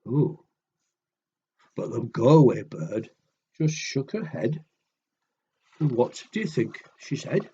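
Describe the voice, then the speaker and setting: A man's voice: medium pitch, big pitch swings, takes it slowly, pauses often, warm tone, breathy edge, highs cut off. A man reading a picture book to children expressively, with chatty conversational asides to his young listeners. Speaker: children's storyteller.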